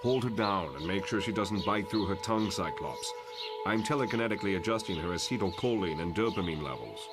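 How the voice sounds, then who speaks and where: An actor playing a composed, idealistic professor who lectures in a formal, measured way in a motion comic. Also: male voice, deep voice, lively intonation. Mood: calm, authoritative. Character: composed, idealistic male professor leading a mutant school. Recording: loud music behind.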